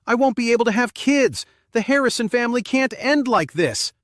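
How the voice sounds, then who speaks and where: A man's voice: very high-pitched, expressive, a little fast, fairly bright tone, no room sound, studio quality, voice pushed up. A man voicing a cowardly, panicking son who whines and begs desperately in a story. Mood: desperate panic. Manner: whining, desperate begging.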